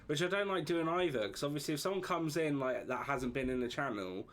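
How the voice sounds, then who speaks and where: A man's voice: a little high, brisk, fairly bright tone, a little hiss or hum. A man streaming a video game live, chatting casually, swearing freely and laughing often. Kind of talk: casual live gameplay commentary. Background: game music.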